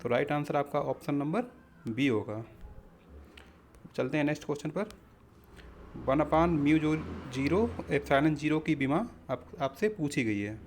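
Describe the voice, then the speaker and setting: A man with a somewhat high-pitched voice works as an exam-prep teacher. He explains practice questions in an instructional manner. He often speaks to his listeners directly as friends. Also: normal speed, pauses often, slight background noise.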